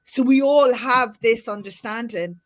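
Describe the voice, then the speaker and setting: A middle-aged woman from Ireland with a softened Irish accent, hosting a casual panel chat over a video call, talking in a chatty, animated way with many restarts. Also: fairly high pitch, unhurried. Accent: Irish, softened by years in the UK. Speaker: host.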